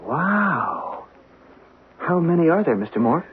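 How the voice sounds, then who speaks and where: Eager young assistant, tinny radio. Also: male voice, a little high, big pitch swings, slow pace. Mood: surprised, eager. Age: young adult. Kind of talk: old-time radio drama acting. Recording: noisy, phone-like old radio broadcast.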